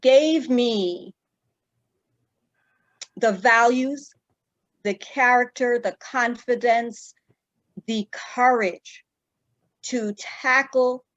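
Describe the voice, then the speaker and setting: A woman in her fifties from Jamaica, an attorney answering interview questions in a measured way with many fillers and pauses. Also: a little high, fairly bright tone, no room sound, dull audio.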